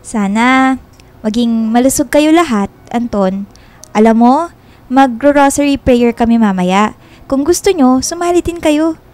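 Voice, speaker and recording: A woman playing a friendly, easily delighted young Filipino woman in a radio love drama, expressive and chatty. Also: sits high, measured pace. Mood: sympathetic.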